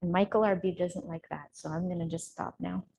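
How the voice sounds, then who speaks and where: A woman with a somewhat low voice delivers an academic talk. She argues her points emphatically and with clear opinions. The recording carries a light layer of room noise. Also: steady tone, a little fast. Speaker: conference speaker, researcher. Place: indoor conference room.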